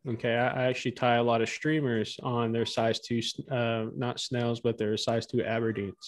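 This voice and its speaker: American man chatting, medium-pitched voice. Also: flat delivery, moderate pace. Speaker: fishing podcast co-host. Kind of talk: casual chat. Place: indoor room, on a video call.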